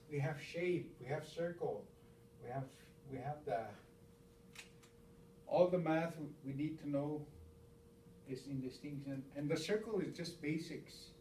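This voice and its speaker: A man's voice: on the high side, darkish tone, roomy sound. Stoney-speaking male elder teaching, repeats and restarts, recorded far off in a faintly noisy room. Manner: repeats words, counts aloud, halting restarts. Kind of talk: informal spoken teaching.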